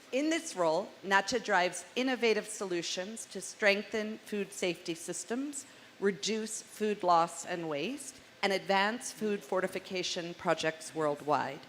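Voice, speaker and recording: A woman moderating a conference panel, introducing guests from a script and adding chatty jokes in a loud, carrying voice. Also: lowish voice. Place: large conference hall. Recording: hall reverb, faint room noise.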